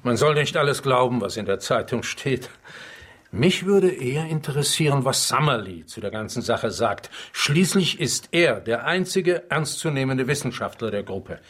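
Sceptical, dismissive doctor. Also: male voice, medium pitch, expressive, normal speed, fairly bright tone, slightly rough. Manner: dry, dismissive. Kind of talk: German radio drama acting.

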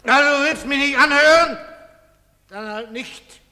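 An actor playing a troubled, drunken middle-aged father with heavy, halting theatrical delivery on a reverberant, noisy stage recording. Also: extremely high pitch, bright, forceful tone, full character voice. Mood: pleading, distressed.

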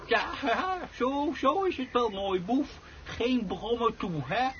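A man's voice: very high voice, lively intonation, slow pace, full character voice. An actor playing a cheerful, nearsighted old grandfather in a comic radio play, muttering and repeating himself obliviously. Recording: dull lo-fi radio recording with noise.

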